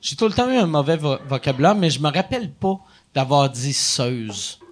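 High, animated Quebec man hosting. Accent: Quebec French. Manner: informal, halting questions, Quebec swearing. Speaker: podcast host. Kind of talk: casual comedic chat.

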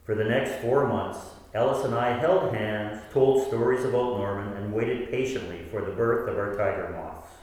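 A man's voice: on the low side, moderate pace, few pauses, a little mellow, natural voice. Man acting reflective narrator, distant and echoey. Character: devoted 58-year-old man narrating his long experiment. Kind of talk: scripted first-person radio play narration. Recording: distant, echoey hall with long reverb.

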